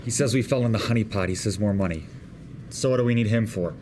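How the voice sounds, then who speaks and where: A man's voice: lowish voice, fast talker, a little mellow, a little hiss or hum, lightly acted. Man acting cocky young skateboarder hustler. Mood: defiant. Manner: slangy, cocky. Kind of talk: table read of a TV drama script.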